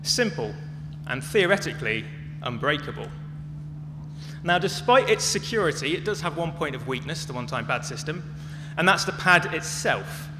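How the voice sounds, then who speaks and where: A man giving a prepared talk as a conference speaker, phrasing it in short, measured, emphatic chunks. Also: a little high, lively intonation. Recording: long echoey reverb, clearly noisy with hum.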